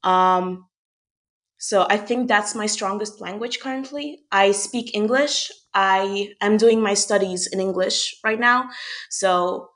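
This is a young woman from Hungary who speaks English. She answers questions in a measured, reflective way. She often pauses with "um" and restarts her sentences. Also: medium pitch, a bit bright. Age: young adult. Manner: thoughtful, with frequent "um" and restarts. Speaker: podcast guest. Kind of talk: conversational interview answers.

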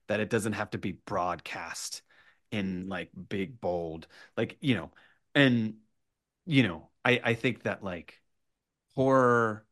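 Man, low pitch, casual interview talk, animated, rambling, halting delivery. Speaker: writer, podcast guest. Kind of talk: casual podcast interview chat.

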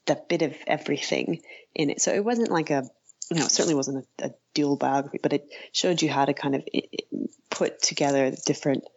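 A woman speaking as an interview guest, hesitant and halting as she thinks aloud in casual conversation. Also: low-pitched, darkish tone, muffled recording, a little hiss or hum. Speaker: interview guest, writer and author.

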